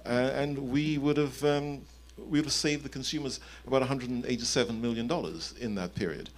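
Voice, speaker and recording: Male executive, fifties, mid-pitched, explanatory panel talk at a conference. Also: brisk. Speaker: panelist, company chief executive. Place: indoor conference event on a stage. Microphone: handheld microphone.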